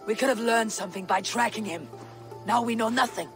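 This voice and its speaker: An actor playing a stern young Viking clan leader in a video game, firm, measured and authoritative. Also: male voice, sits high, over music. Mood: firm, irritated. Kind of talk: dramatic video game acting.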